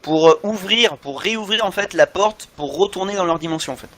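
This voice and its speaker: A man's voice: high-pitched, brisk, a bit bright. A man chatting enthusiastically as a podcast co-host about things he loves, often repeating himself. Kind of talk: animated fan discussion of cartoons.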